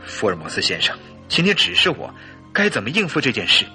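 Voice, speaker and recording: Distraught husband, sharp intense voice. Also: male voice, medium pitch, huge pitch swings, brisk, soft background music, heavily acted. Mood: troubled. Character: distraught, nervous husband, earnest and troubled. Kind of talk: radio drama acting.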